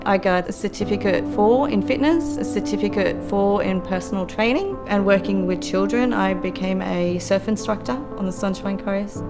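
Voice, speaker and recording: A middle-aged Australian woman telling her own story in an earnest, reflective, steady way. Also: medium pitch, moderate pace, hardly any pauses. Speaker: youth worker and law graduate. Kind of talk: personal testimony for a documentary. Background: soft music.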